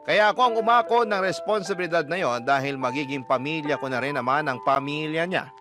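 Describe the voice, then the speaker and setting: Casting: male radio storyteller, slightly high voice, earnest confessional narration, heavy music bed. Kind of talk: first-person scripted narration of a letter. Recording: loud music under the voice. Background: prominent background music.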